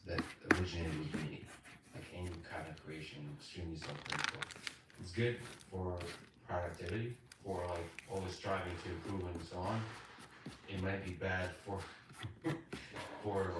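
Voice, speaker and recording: Male host, halting and self-critical, echoey distant recording with loud noise. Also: sits low. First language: Russian. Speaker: podcast host. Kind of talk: casual conversation.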